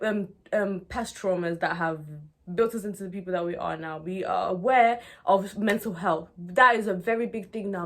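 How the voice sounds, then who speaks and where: A young woman in her twenties from Nigeria, speaking candidly and expressively to her viewers in a conversational vlog style. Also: mid-range voice. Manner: conversational and expressive, often checking in with "you know". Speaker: video blogger. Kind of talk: candid personal talk to viewers.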